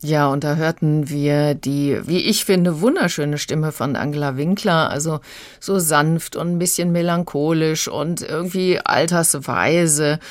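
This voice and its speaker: A woman's voice: sits low, a little slow, no echo. A woman reviewer explaining things conversationally in a radio review. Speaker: audiobook reviewer.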